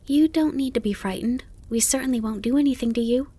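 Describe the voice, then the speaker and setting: A woman voicing an excitable, polite, innocent young male fawn in an animal storybook, eager and full of wonder. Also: high-pitched, a little slow, pitched above the performer's own voice. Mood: polite, gentle. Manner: wide-eyed, eager, wondering.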